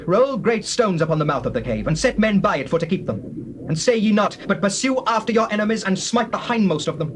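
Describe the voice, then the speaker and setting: An actor playing a stern, commanding Israelite war leader, declaiming in a dramatized scripture reading. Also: male voice, high voice, expressive, a little fast. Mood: urgent commanding. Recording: old cassette tape with faint noise.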